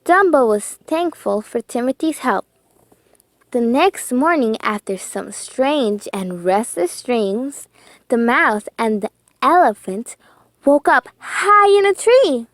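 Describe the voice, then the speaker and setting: A woman reading a children's storybook aloud with expressive, deliberate phrasing and dramatic emphasis. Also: a little high, no room sound.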